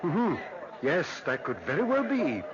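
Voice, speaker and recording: Pompous old judge, highish swoopy voice, hems and haws, old radio drama, suspicious type. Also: male voice, wildly swooping pitch, measured pace, a crowd in the background. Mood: puzzled, impatient. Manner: hemming and hawing, pompous. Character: fussy, suspicious old magistrate of a superstitious town. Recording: dull, lo-fi old radio recording.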